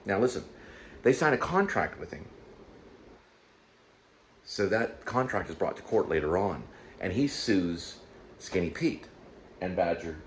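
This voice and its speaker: Low voiced guy rambling thru his ideas, kinda hesitant, repeats himself, some background noise. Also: unhurried, long pauses. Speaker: YouTube show host and amateur writer. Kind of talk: casual rambling solo commentary. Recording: steady background room noise.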